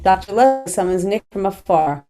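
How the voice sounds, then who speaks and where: A woman reading scene headings and stage directions at a table read in an even, plain delivery. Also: mid-range voice, normal speed. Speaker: narrator.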